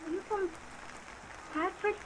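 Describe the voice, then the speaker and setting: A woman's voice: very high-pitched, fairly even intonation, measured pace, low-quality recording. A woman acting a desperate, distrustful, agitated young woman in a 1950s radio drama. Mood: wary, bitter. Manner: agitated, defiant, suspicious. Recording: vintage radio recording, rain noise behind.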